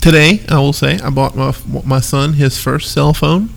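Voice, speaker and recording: Gravelly man, stuffed-up nose. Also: fairly high pitch, moderate pace. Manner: easygoing, laughs often, rambling asides. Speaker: podcast co-host. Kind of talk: casual chat.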